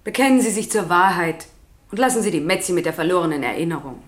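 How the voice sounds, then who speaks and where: A woman's voice: lowish voice, expressive, fast talker, close to an everyday voice. An actress playing a stern, admonishing female court official in a German radio crime drama.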